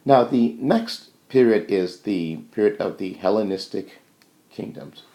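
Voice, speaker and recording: Male lecturer, low-pitched with plenty of fry, informal and halting explanatory delivery. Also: mellow, slight background noise. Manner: halting, thinking aloud, checks in with "okay" and "right".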